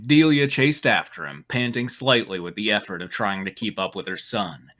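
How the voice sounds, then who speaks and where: A man's voice: lowish voice, moderate pace, fairly bright tone. A man narrating fan fiction and adding joking commentary as he goes.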